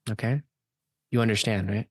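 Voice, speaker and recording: A man's voice: fairly low pitch, fairly even intonation, normal speed, dry recording, clean studio sound, natural voice. A man playing an anxious, evasive young man in an amateur radio play, hesitant and defensive, often repeating himself. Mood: flustered, defensive.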